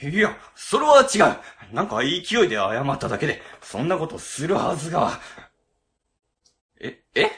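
A man's voice: on the high side, wildly swooping pitch, normal speed, a bit bright, full character voice. A man playing a timid, blustering middle-aged section chief in a comedic radio drama, flustered and pompous.

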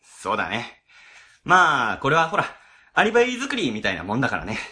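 A man acts a harried civil-service boss in a web radio drama. His bright, forceful voice rises and falls freely as he grumbles and explains in a casual, exasperated way. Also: medium pitch, huge pitch swings, a little fast, heavily acted. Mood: nonchalant. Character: harried, grumbling, easygoing section chief at a ward office.

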